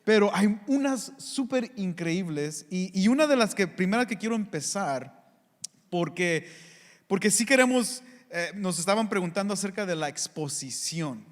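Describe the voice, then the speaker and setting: Mexican guy moderating a panel, pretty high voice, jokey, echoey hall. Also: expressive, moderate pace. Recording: reverberant hall sound.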